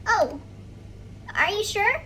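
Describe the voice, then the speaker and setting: A very high, bright little bunny girl in a children's story. She is timid and childlike, speaking slowly and hesitantly over a noisy recording. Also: lively intonation, exaggerated voice. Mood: nervous.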